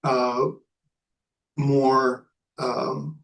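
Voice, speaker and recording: A man's voice: mid-range voice, completely flat delivery, very slow, vocal fry, a bit bright. A man on a city commission asking questions at a public meeting, hesitant, with many fillers. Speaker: commission member. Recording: distant from the mic in a meeting room.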